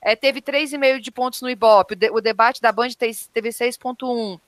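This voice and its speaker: A Brazilian woman offers casual political commentary on a panel. Her voice is a little high, and the recording is dry, free of room sound. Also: moderate pace, no room sound. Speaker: podcast panelist.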